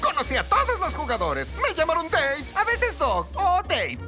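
Goofy cartoon skunk buddy: high, unhurried, innocent and cheery, loud music behind. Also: female voice, wildly swooping pitch. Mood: chatty, oblivious. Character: cheerful, naive male cartoon skunk, childlike best friend. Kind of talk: dubbed cartoon acting.

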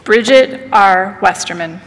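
A woman at a podium reading out graduates' names in a measured, formal way at a ceremony. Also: mid-range voice. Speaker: ceremony name reader. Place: large hall, at a podium. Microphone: podium mic, far camera. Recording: long reverb of a large hall.

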